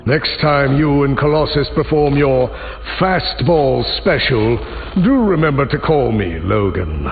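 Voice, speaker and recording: A man playing a proud, aging mutant leader in a video game, speaking formally and theatrically with deliberate, grandiose phrasing. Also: mid-range voice, animated, vocal fry, lo-fi sound. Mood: dry, imperious. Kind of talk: video game character dialogue.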